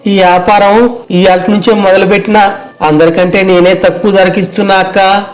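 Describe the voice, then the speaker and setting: Casting: man voicing young rural vegetable vendor, high voice, earnest, homely colloquial delivery for a moral tale. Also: flat delivery, moderate pace, lightly acted. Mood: persuasive. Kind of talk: acted moral story dialogue.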